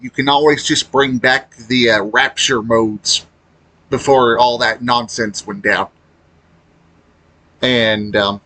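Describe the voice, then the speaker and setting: A man giving casual, unscripted opinion commentary as a gaming video creator, halting as he talks and blunt in his complaints. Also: mid-range voice, pushed and bright.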